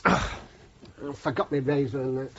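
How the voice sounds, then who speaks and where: An actor playing a placating, unassuming middle-aged chauffeur with a working-class English accent in a British radio play. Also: male voice, mid-range voice, flat delivery, moderate pace, lightly acted. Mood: soothing. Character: mild, deferential working-class chauffeur, conflict-avoiding family man. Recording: muffled radio drama, clear background noise.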